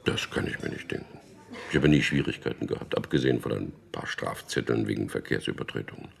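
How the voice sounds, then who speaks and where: An actor playing a dry, guarded middle-aged official who narrates a radio drama in an understated, gravelly way. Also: male voice, rumbling low, lively intonation, fast, full character voice. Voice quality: gravelly, husky. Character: Peter, dry, guarded propaganda official about to marry. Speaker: narrator and lead character.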